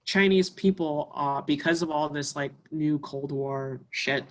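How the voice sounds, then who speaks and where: A woman's voice: deep voice, measured pace. A woman from Canada, a musician guest, talking casually in an interview with many fillers.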